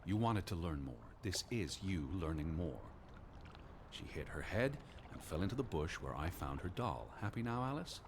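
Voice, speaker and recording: Game lead: 1920s American man of science, lower register, animated intonation, formal, rational, weary and anxious. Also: moderate pace. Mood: exasperated. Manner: formal, weary, exasperated, precise. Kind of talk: video game voice acting. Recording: clear ambient noise behind voice.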